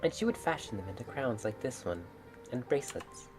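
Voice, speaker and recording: A woman's voice: a bass voice, very animated, a little fast, over music. A woman voicing a proud, blunt, aloof mermaid in a fairytale visual novel, with formal, stately phrasing. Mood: wistful. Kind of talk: visual novel character read-aloud.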